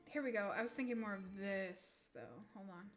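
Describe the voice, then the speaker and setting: A woman's voice: mid-range voice, fairly quick, soft background music. A young woman reading tarot on a live stream, rambling and giggling as she chats straight to her viewers; English is not her first language.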